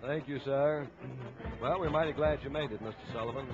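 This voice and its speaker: A male 1950s radio commentator with a medium-pitched voice talks in a folksy, emphatic way. The old broadcast sound is dull, and band music plays clearly behind him. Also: brisk. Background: marching band music.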